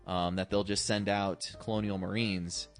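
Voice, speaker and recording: Very deep-voiced man chatting casually. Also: level tone, moderate pace, faint music behind. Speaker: podcast co-host. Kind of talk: casual movie discussion.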